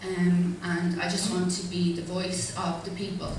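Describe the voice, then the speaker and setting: A woman's voice: on the low side, flat delivery, a little slow. A woman in her thirties with a Dublin Irish accent, speaking informally and hesitantly, with many ums, as a candidate at a hustings. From Ireland. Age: 30s. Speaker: independent candidate. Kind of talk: speech at a hustings. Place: public hustings hall. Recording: echoey hall from far off with faint noise.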